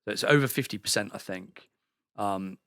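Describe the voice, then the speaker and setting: A man's voice: fairly low pitch, normal speed, fairly bright tone, smooth, no echo, studio quality. A man from the UK, a podcast guest in a sauna house, chatting casually and rambling with many fillers and restarts. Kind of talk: casual chat.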